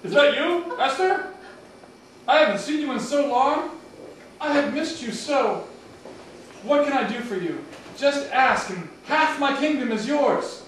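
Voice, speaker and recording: A man's voice: very high-pitched, lively intonation, measured pace, pauses often, fairly bright tone, full character voice. A man voicing a doting, indulgent puppet king, gushing and courtly, in an amateur children's show. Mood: adoring. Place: small home gathering, echoey room, far camera. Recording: echoey distant noisy home video.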